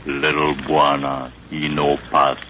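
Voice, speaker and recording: An actor playing a loyal African tribesman guide in an old radio adventure serial, speaking halting broken English in clipped phrases on a dull, hissy recording. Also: extremely low pitch, monotone. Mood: terse, watchful.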